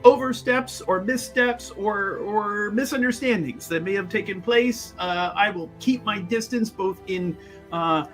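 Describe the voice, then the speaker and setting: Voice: medium pitch, a little slow, fairly bright tone, soft background music, close to an everyday voice, rough recording. A player voicing a talkative, over-apologetic adventurer in stammering, florid tabletop roleplay. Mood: flustered, apologetic.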